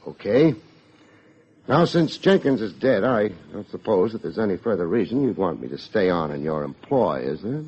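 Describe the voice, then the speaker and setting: An American actor playing a wry, hard-boiled private detective in an old radio drama, with dry, needling delivery. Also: male voice, fairly low pitch, big pitch swings, moderate pace, darkish tone, slightly rough, heavily acted. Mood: sardonic. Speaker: private investigator and narrator. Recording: dull vintage radio recording.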